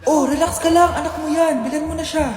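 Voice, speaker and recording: A woman playing a calming inner voice that urges patience in a comedy skit. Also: high-pitched, brisk, natural voice. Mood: soothing. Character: calming inner voice urging a mother not to get angry. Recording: roomy echo, faint music behind.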